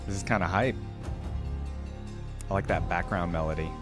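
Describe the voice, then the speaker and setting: Deep-ish guy doing chill reaction commentary, music playing behind him. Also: moderate pace. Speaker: reaction video host. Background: game audio and music.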